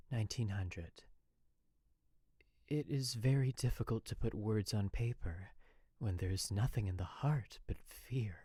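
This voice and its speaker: A man's voice: medium pitch, a little mellow, no echo, clean studio sound. A man playing an anxious, oppressed young wife who confides in her diary, in an earnest, formal, dramatic western narration. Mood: fearful, confiding.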